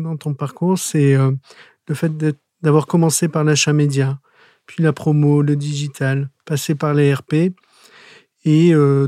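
A man's voice: fairly high pitch, mellow, no echo, studio quality. A man in his forties hosting a podcast interview, hesitant with many fillers, rephrasing his guest's points. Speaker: podcast host. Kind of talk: interview questions.

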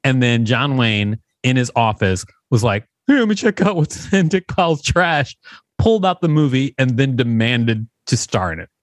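A man's voice: medium pitch, sing-song, normal speed, no room sound, clean studio sound. A man co-hosting a podcast, reading reviews aloud and joking between them, laughing often and slipping into comic impressions. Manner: playful, laughs often, mimics people in comic asides. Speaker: podcast co-host. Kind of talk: reading aloud and casual commentary.

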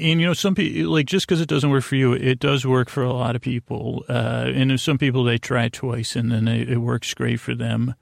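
Gravelly, meandering male podcast host. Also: medium pitch, no room sound, clean studio sound. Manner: meandering, halting, often trailing off mid-thought. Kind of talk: rambling bedtime podcast monologue. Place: podcast studio.

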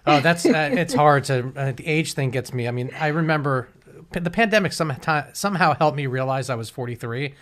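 A man's voice: fairly high pitch, a little fast, a bit bright. A man in his forties interviewing guests in a casual, rambling way, often restarting his sentences. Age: 40s.

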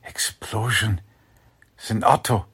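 A man's voice: lowish voice, fairly even intonation, takes it slowly, a bit bright, breathy edge, heavily acted. A man playing an anxious, principled middle-aged German-American father in a 1940s-style radio drama, grave and earnest. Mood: alarmed. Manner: grave, earnest, formal phrasing. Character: anxious German-American family patriarch, urgent but principled.

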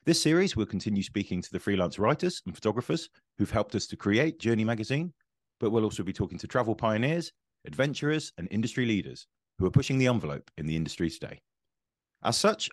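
A middle-aged man hosting an interview podcast, upbeat and chatty, with quick encouraging interjections. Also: lowish voice, no room sound, studio quality. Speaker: podcast host.

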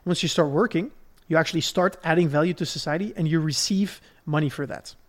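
Guy from belgium, voice a bit on the high side, podcast host explaining stuff step by step, conversational. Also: a little fast. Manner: didactic, step-by-step, checks in with "right?".

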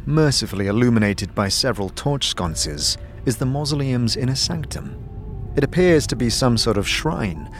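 A man giving scripted second-person fantasy narration in a measured, dramatic storytelling manner. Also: medium pitch. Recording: clear music behind.

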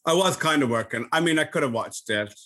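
A man's voice: fairly high pitch, animated, quick pace, sharp tone. A young Irish man co-hosting a casual podcast, wry and teasing. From Ireland. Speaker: podcast co-host. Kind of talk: casual chat.

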